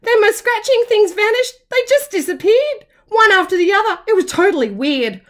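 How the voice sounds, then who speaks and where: A woman's voice: very high voice, animated, moderate pace, voice pushed up. A woman voicing an itchy, hard-done-by male wombat in a children's picture book, plaintive and exclaiming. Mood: upset.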